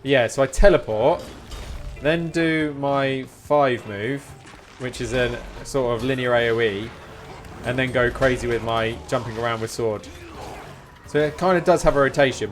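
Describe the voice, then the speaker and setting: A man's voice: medium pitch, moderate pace. Man chatting casually over faint game music. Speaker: game streamer. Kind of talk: casual live-stream chat while gaming.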